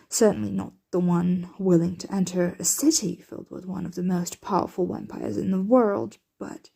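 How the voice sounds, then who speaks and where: A woman playing a protective, doting yet anxious vampire mistress in an ASMR roleplay, breathy and often whispering, with formal, measured diction. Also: mid-range voice, mellow. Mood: tender, concerned.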